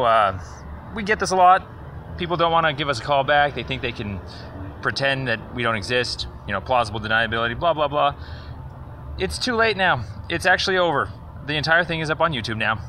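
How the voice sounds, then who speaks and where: A man's voice: a little high, sharp tone, slight background noise. A man leaving a rambling, accusatory phone message in a sarcastic, confrontational, indignant manner. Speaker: caller leaving a voicemail complaint.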